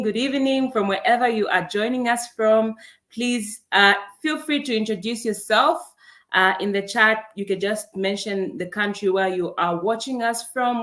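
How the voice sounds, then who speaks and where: A woman's voice: mid-range voice, a bit bright. Young woman from zambia running a webinar, cheery and welcoming, calls out chat names. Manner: upbeat, welcoming, reads chat names aloud. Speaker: online event host. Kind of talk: live webinar hosting.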